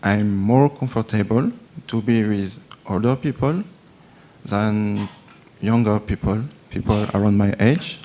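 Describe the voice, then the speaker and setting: Hesitant French-accented man, low voice. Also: dark tone, slight background noise. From France. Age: 30s. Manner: hesitant, halting, many fillers and restarts. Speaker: audience questioner. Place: temple hall.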